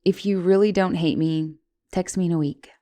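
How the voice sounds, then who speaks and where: A young woman playing a guilty, caring giantess college student in an intimate ASMR roleplay, hesitant and stammering, with soft turns toward whispering. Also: fairly low pitch, fairly even intonation, moderate pace, close to an everyday voice. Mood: apologetic, gentle. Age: young adult. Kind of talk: ASMR roleplay, intimate one-sided dialogue.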